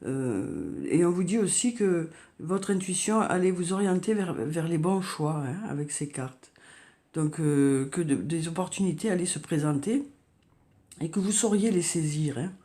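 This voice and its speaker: A woman with a cold giving a tarot reading, talking softly and hesitantly with many fillers and repeated words. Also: deep voice, lively intonation, a little slow. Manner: soft, hesitant, frequent "hein" and "euh", repeats words. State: has a cold, coughing. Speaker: tarot card reader. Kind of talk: monthly horoscope card reading.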